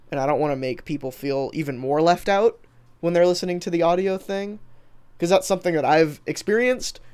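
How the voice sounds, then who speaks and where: A man's voice: on the high side, a little mellow, clean tone. Casual, rambling American man livestreaming. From Texas, United States. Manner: informal, sweary, rambling. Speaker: livestreamer and video editor. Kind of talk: casual livestream chat, reading and answering comments.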